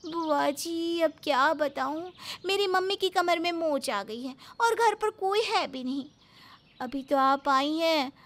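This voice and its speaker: A woman's voice: sits high, a bit bright, pitched above the performer's own voice. A woman voicing a scheming, self-centred young daughter-in-law in a cartoon story, with exaggerated dramatic delivery. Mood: falsely sweet.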